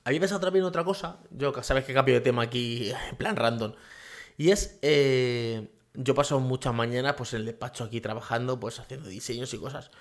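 A man's voice: medium pitch, a little fast. A man hosting a casual solo podcast from his home office, rambling with many fillers and tag questions. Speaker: podcast host.

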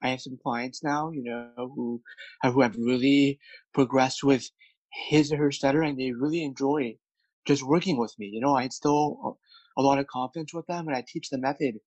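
A man's voice: medium pitch, a little fast, darkish tone, no room sound. Stuttering man, dry and dull. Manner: stutters, with repeated words and many fillers. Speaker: guest, speech coach. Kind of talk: candid interview conversation.

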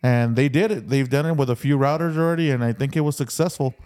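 A man's voice: medium pitch, fast, darkish tone, smooth. A man in a casual chat, explaining things as a podcast co-host and restating his points for emphasis.

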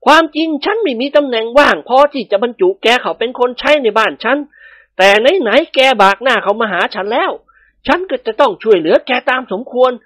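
A woman voicing a stern, easily riled older male nobleman who barks and shouts in bursts. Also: a little high, expressive, brisk, dry recording, voice pushed up. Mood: gruff. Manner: gruff barking, shouting in bursts.

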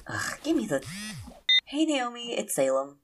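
A woman acting as a caring but nagging housemate in an audio drama, casual and gently prodding. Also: on the high side, very animated, a little slow, background noise. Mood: dry, casual.

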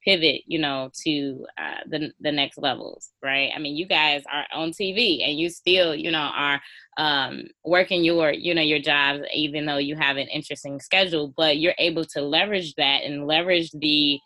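Low-voiced encouraging woman host. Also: steady tone, normal speed, pushed and bright, no echo. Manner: encouraging, talks in long run-on thoughts. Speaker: guest host. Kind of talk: casual podcast interview.

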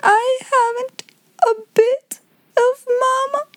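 A woman's voice: very high voice, fairly even intonation, slow pace, pitched above the performer's own voice. A woman voicing a very young, stubborn little girl in a storybook, with a whimpering, sobbing manner. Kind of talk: storybook character voice in audiobook.

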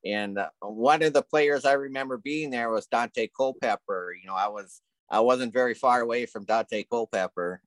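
A man co-hosting a casual podcast, telling stories in a relaxed, hesitant way with lots of ums. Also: medium pitch, moderate pace, no echo, low-quality recording. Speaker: podcast co-host.

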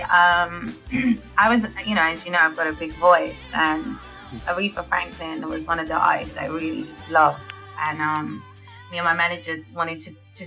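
A woman's voice: on the low side, sharp tone, rough recording. A young woman, a singer chatting casually as an interview guest, with lots of fillers and laughs. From England. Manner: chatty, laughs often, many fillers and restarts.

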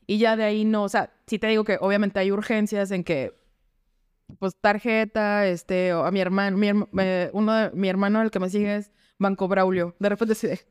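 A woman's voice: medium pitch, steady tone, normal speed, clear, resonant tone, no room sound, clean studio sound. A woman with a Mexican accent talking informally and candidly as a guest in a casual interview. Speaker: guest, entrepreneur.

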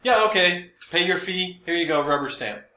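A man's voice: high voice, moderate pace, sharp tone. An American man co-hosting a podcast, chatting casually with wry, sarcastic exaggeration. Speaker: podcast co-host. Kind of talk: casual humorous chat. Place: echoey room, recorded for a podcast and live stream. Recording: echoey, roomy sound.

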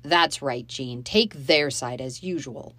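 A woman reading the part of an angry stepfather who shouts and accuses two children, in a storybook reading. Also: extremely low pitch, slow pace, no echo, pitched below the performer's own voice, full character voice. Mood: bitter. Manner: loud, accusing, bitter.

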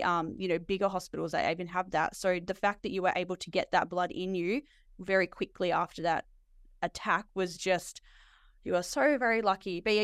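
An Australian woman, a nurse hosting a podcast interview, talking in an animated way with frequent laughs. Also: mid-range voice, clear, resonant tone, dry recording, studio quality. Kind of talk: conversational interview.

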